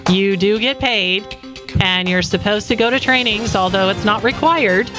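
A woman's voice: medium pitch, over music. A woman hosting a call-in talk radio show, giving her opinions and taking calls in an opinionated, chatty way. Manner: opinionated, chatty, quick to thank callers. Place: radio studio. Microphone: studio microphone.

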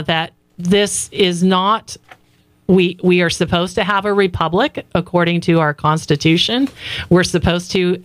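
Woman radio host, low-ish voice, opinionated and chatty, dry studio mic. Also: clear, resonant tone, no echo. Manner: opinionated, chatty, quick to thank callers. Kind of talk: call-in radio talk. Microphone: studio microphone.

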